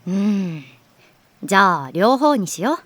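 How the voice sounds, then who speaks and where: A woman playing a lovestruck teenage girl in a Japanese audio drama, teasing yet wistful, speaking in an unhurried way. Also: mid-range voice, sing-song, a bit bright, no room sound. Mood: cheerful. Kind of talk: Japanese audio drama acting with soft inner monologue.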